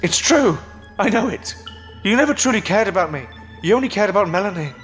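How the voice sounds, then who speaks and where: A fairly high-pitched male hero, acted by a man, loyal and burdened by grief. He speaks earnestly and solemnly in the manner of an audio horror drama, with music playing behind. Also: expressive, brisk, a bit bright. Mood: bitter defiance. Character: grieving, self-sacrificing, loyal man defying a monster.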